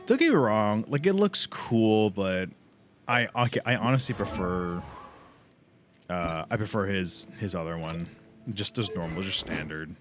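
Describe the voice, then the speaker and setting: A man's voice: deep voice, lively intonation, a little slow, faint music behind. A man chatting casually as a livestream host and gamer, rambling in loose slang with frequent swearing.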